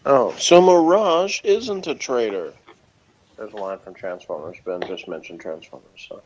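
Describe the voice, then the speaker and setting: A man's voice: on the low side, a little slow, a little mellow. A man in his thirties chatting casually as a reviewer, with a dry, sarcastic way of talking. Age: 30s. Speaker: podcast co-host, reviewer. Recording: muffled lo-fi line with noise.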